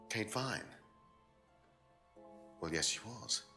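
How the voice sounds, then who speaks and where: An actor playing a slimy, evasive man in a mystery game, oily, measured and hammy. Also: fairly low pitch, very animated, sharp tone, faint music behind, full character voice. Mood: guarded. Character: slimy, evasive male mansion owner, oily and guarded. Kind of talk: FMV mystery game acting.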